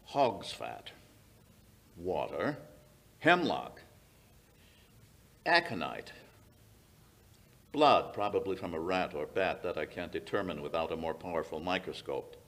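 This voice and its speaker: An older man playing a commanding, inquisitive detective in old-time radio mystery style, speaking in a deliberate, authoritative way. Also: low-pitched, sing-song, fairly bright tone, full character voice. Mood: analytical. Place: small radio-style studio stage, indoors. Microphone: stand microphone.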